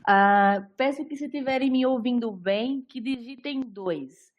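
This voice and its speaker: A woman's voice: fairly high pitch, measured pace, highs cut off. A young adult woman with a Brazilian Portuguese accent, a nutritionist presenting an online talk in a friendly, explanatory way with frequent fillers.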